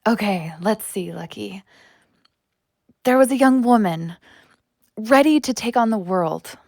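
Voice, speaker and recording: A woman's voice: mid-range voice, lively intonation, unhurried, gravelly, darkish tone, dry recording, studio quality. A woman playing an earnest, wounded young woman who is friendly and seeks connection, confiding in an audio drama. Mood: hushed, haunted.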